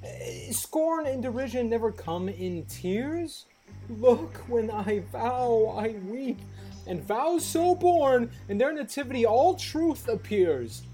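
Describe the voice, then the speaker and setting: A woman's voice: fairly high pitch, big pitch swings, slow pace, dark tone, soft background music. A woman playing an earnest, lovestruck young male suitor in a Shakespearean verse radio play, pleading emphatically and theatrically.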